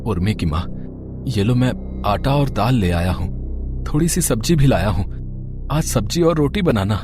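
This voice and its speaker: A man's voice: medium pitch, expressive, normal speed, music in the background, close to an everyday voice. A man voicing a poor, humble, devout farm labourer and father in a moral story, meek and weary.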